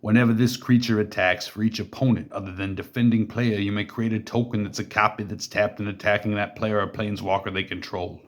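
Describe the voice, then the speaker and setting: A man's voice: fairly low pitch, steady tone, brisk, few pauses. A man in a casual deck walkthrough who reads card text aloud, then chats loosely about each card, with fillers and asides along the way. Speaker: card game deck reviewer.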